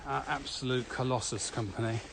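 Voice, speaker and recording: Man chatting over clear background noise. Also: mid-range voice, steady tone, brisk. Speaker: podcast co-host and trainer. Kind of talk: casual walking podcast chat. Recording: noisy walking recording with ambient sound.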